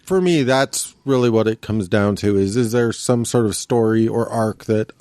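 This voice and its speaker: A man's voice: lowish voice, moderate pace, a little mellow, clear, resonant tone, no echo. A man talking casually as a podcast co-host, explaining his points and probing with questions. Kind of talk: casual panel chat about comic books.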